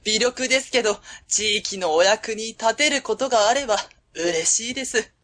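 High-voiced, warm woman company president played by an actress. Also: moderate pace, natural voice. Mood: polite, modest. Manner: polite, hesitant openers, fond chuckles. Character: friendly middle-aged woman company president, warm and modest. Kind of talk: Japanese web radio drama acting.

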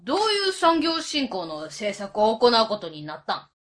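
A woman playing a blunt, quipping office worker in a radio drama, with a husky voice and a Kansai accent. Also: a little high, wildly swooping pitch, moderate pace. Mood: critical, curious. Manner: blunt, teasing, questioning. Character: blunt, quick-to-quip Osaka woman at work. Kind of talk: radio drama acting, casual dialogue.

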